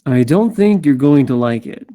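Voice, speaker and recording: A man reading a grave, protective male character at a screenplay table read, measured and understated. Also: mid-range voice, expressive, warm tone, lightly acted. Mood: grave, reassuring. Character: grave, protective male Rabbit, steady and reassuring.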